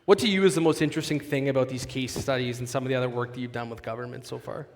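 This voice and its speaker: A man's voice: mid-range voice, fairly even intonation, racing. Man presenting in an echoing hall. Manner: polished, explanatory, with occasional restarts. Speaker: conference presenter and moderator. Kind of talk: conference talk and onstage interview. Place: large conference hall. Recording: long reverb of a conference hall.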